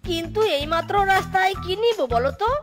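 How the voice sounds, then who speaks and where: A greedy, boastful cartoon fox husband, acted by a woman, speaks extremely high. He wheedles, exclaims and grumbles in comic style, with clear music playing behind. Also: fast. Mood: wheedling. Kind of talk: Bengali animated comedy story dialogue.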